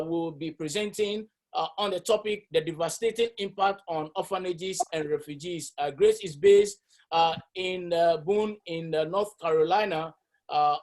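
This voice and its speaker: Guy hosting a zoom webinar, stumbly intro full of uhs, a bit high-pitched. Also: moderate pace, darkish tone. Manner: hesitant, many fillers and restarts. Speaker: webinar host. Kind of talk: introducing a speaker. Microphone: video call.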